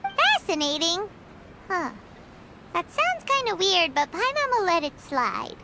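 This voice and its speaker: A woman voicing a tiny, cheeky, childlike fairy companion in a video game, squeaky and speaking of herself in the third person. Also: big pitch swings, unhurried, bright, forceful tone, slight background noise, heavily acted. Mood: puzzled, skeptical. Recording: background music throughout.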